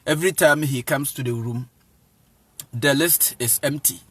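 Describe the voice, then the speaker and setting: A man's voice: medium pitch, measured pace, fairly bright tone, slightly rough. A man with a Ghanaian accent giving an informal lecture to viewers in an emphatic, repetitive way, phrase by phrase. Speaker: online evangelist and teacher.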